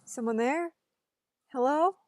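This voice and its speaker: Brief: woman voicing startled, incredulous young guy for a film script read; higher register, very dry sound. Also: slow, no room sound. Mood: wary. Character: startled, incredulous young man in his father's apartment. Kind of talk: amateur table-read acting.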